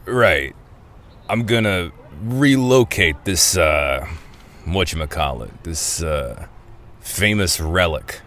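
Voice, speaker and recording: A world-weary crook in his seventies in an audio play. His voice sits low and he takes his time, hesitating and wryly questioning in plain street slang. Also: lively intonation, slow pace, a bit bright, exaggerated voice. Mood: wry, skeptical. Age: 70s. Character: world-weary 75-year-old male ex-convict thief, folksy, skeptical. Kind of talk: audio drama acting.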